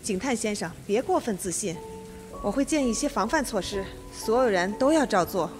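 A woman's voice: medium pitch, animated, a little fast, over music, natural voice. Shrewd, composed judge played by a woman. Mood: cool, commanding. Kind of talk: Mandarin radio drama acting.

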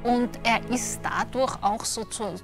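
A woman's voice: fairly high pitch, slow pace. A woman speaking as an expert commentator in a documentary interview. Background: music.

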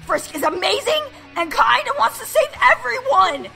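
A woman's voice: very high-pitched, sing-song, moderate pace, vocal fry, sharp tone, soft background music, heavily acted. A woman voicing a small foul-mouthed talking flower in a comic dub, ranting with indignant protectiveness. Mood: furious, indignant. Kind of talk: cartoon comic dub acting.

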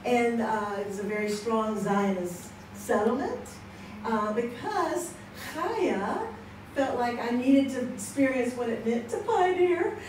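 A woman's voice: on the high side, lively intonation, takes it slowly, darkish tone. A woman in her sixties with an American accent, telling personal stories to a conference audience on a handheld mic, chatty and laughing often. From United States. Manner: chatty, laughs often, acts out quoted exchanges. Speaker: conference speaker. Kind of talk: personal testimony, storytelling. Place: church hall with audience. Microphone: handheld mic, seated, filmed from across the room. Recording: echoey hall from far off with background noise.

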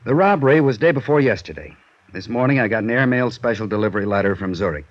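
An actor playing a serious, brisk insurance office manager in a 1950s radio drama, speaking matter-of-factly. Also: male voice, on the low side, animated. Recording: muffled vintage 1950s radio recording.

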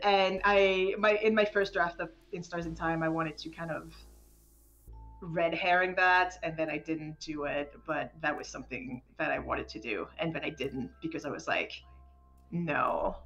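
A woman chatting casually as a game developer in an interview, animated, often interrupting herself and stammering over words. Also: on the low side, dull audio. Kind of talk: casual live stream chat.

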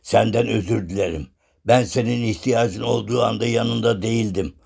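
A man playing a blunt, grumpy but loyal common man in a children's cartoon, with a gravelly, husky voice and folksy speech. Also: lowish voice, steady tone, moderate pace, darkish tone, exaggerated voice. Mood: apologetic. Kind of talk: animated children's cartoon acting.

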